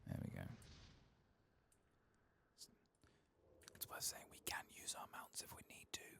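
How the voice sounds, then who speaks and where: A man giving soft-spoken, partly whispered ASMR commentary over a video game, murmuring and thinking aloud in an unhurried way. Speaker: ASMR gaming streamer.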